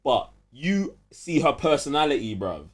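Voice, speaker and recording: A man's voice: fairly high pitch, a little slow, husky. A young man with a London accent co-hosting a podcast, chatting in heavy street slang and telling stories emphatically. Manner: animated, emphatic storytelling. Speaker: podcast co-host.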